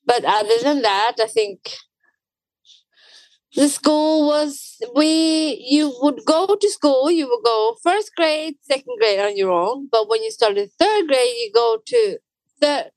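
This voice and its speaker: A woman's voice: high-pitched, lively intonation, slow pace, a little mellow, dry recording, rough recording. A young adult woman from Sweden with a Swedish accent, chatting casually as an interview guest with many fillers and restarts.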